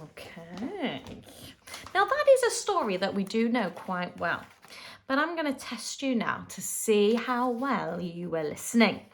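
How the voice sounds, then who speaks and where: A woman teacher reading a storybook expressively to young children, with dramatic emphasis and pauses. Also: mid-range voice, big pitch swings, slight background noise. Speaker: infant school teacher.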